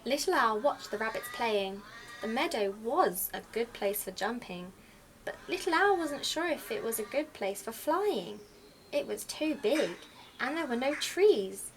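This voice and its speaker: A woman narrates a picture book for children. Her voice is fairly high and moves with lots of animated melody. The recording carries faint background noise. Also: a little slow, poor audio. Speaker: storyteller reading to children. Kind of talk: expressive children's storybook narration.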